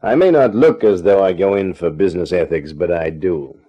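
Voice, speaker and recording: An actor playing a wry, wisecracking private detective who narrates a 1950s radio drama. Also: male voice, low voice, moderate pace, husky. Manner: dry, wisecracking, laconic. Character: wry hard-boiled male private eye. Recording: dull 1950s radio recording.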